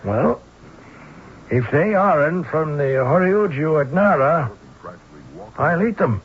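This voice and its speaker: Urbane, high-pitched gentleman sleuth. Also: lively intonation, slow pace, breathy edge, exaggerated voice. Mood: assured, courteous. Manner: erudite, wry, self-assured, courteous. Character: urbane, dry-witted older scholar-sleuth, a doctor. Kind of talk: vintage radio mystery acting. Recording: muffled, hissy old radio broadcast.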